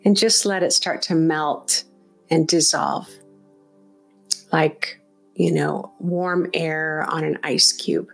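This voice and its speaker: A woman leading a guided meditation as a teacher, speaking off the cuff in a gentle, encouraging, conversational way. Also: low-pitched, slow pace, long pauses, faint music behind.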